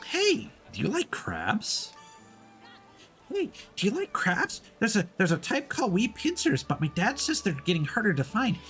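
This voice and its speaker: A man's voice: high-pitched, huge pitch swings, moderate pace, a bit bright, faint music behind, voice pushed up, heavily acted. A man voicing an eager, pleading young boy in a video game who loves small creatures. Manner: childlike, pleading.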